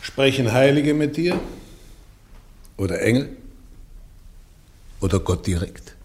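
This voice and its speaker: A church interrogator presses on with question after question, pointed and relentless. A man plays him in an old radio drama. His delivery stays measured and formal. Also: mid-range voice, big pitch swings, darkish tone, slight background noise. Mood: insistent. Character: male church interrogator, probing and insistent. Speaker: trial judge. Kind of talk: German radio play acting.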